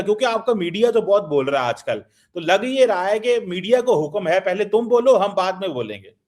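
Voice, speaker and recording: This is a man hosting a political talk show, his voice sitting high for a man. He asks pointed, sarcastic questions and keeps prodding his guest. He talks like a regular host who is used to pushing for answers. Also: a little mellow. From Pakistan.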